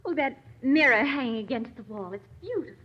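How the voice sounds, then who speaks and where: A woman's voice: high voice, animated, fairly quick, slightly rough. An actress playing an affectionate, coaxing newlywed wife in a girlish, exclaiming 1940s radio manner. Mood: delighted. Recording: dull, noisy old radio recording.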